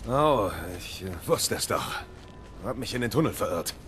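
Low-voiced, guarded Victorian knight. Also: male voice, animated, moderate pace, a bit bright, slight background noise. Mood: feigned politeness. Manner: formal, courteous speech. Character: Galahad, gallant guarded Victorian knight, steadfast man. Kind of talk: German audio drama acting.

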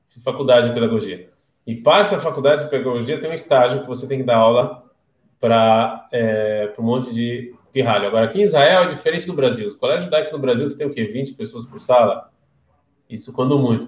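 A man's voice: mid-range voice, brisk, echoey room, lo-fi sound. A man from Brazil with a Brazilian Portuguese accent, giving a casual live-streamed class and telling stories as he questions his listeners. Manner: chatty storyteller, questions the listeners.

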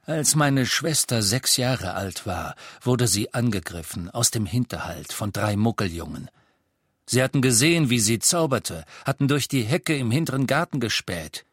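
A man voicing a bitter old male tavern keeper who talks bluntly and scornfully, often slowly and with weight. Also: medium pitch, animated, breathy edge, dry recording, clean studio sound. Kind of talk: audiobook character voice.

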